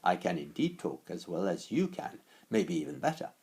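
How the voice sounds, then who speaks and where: A man's voice: fairly low pitch, big pitch swings, normal speed, creaky, a little mellow, voice pushed up, heavily acted. A man voicing a friendly, affectionate female talking dog in a storybook, chatty, polite and self-assured. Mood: confident, proud.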